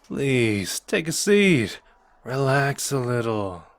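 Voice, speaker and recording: A man's voice: mid-range voice, very animated, takes it slowly, no room sound. A man playing a cocky, playful young sorcerer who is protective underneath, talking casually and intimately to the listener in an ASMR roleplay. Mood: earnest, persuading. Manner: casual, teasing, intimate and conversational.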